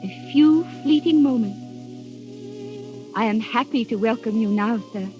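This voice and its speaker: An actress playing an innocent, trusting young princess in a 1930s radio drama, with a formal, dreamy manner. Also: female voice, a little high, unhurried, natural voice. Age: young adult. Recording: dull, crackly radio, faint music behind.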